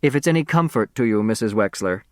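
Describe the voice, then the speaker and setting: A man's voice: fairly low pitch, expressive, fast, dry recording, studio quality, lightly acted. A man voicing a dignified, indignant female judge with a formal, biting manner in an audiobook. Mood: biting dignity.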